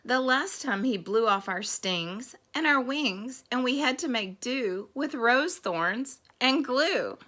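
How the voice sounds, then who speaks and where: A woman's voice: a little high, lively intonation, slow, few pauses, sharp tone, pitched above the performer's own voice, full character voice. A woman voicing anxious, aggrieved bees with urgent, repetitive rhyming in a children's storybook reading. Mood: flustered. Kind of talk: children's storybook read-aloud.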